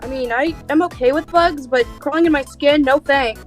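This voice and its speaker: A woman's voice: high voice, moderate pace, soft background music. A woman giving casual guest reactions, often repeating short remarks.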